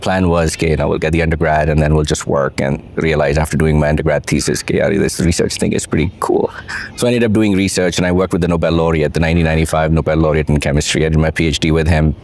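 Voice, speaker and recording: Low-voiced pakistani guy, middle-aged, thinking out loud, easy interview chat. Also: steady tone, quick pace. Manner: reflective, storytelling, mixes in Urdu phrases. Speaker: guest, venture capitalist. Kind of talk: relaxed interview conversation.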